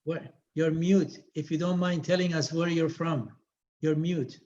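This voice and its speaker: A man's voice: fairly high pitch, a little slow, a little mellow. A man hosting an online meeting, politely welcoming participants and asking where they are from. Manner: welcoming, courteous. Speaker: meeting host. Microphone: video call. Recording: dull video call audio.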